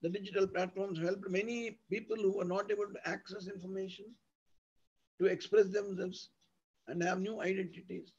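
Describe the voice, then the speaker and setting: High-pitched, husky Indian man lecturing. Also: flat delivery, normal speed, darkish tone. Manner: didactic, emphatic, repeats with synonyms and lists. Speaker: university lecturer. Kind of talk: academic lecture, explanatory with examples. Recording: dull lo-fi audio.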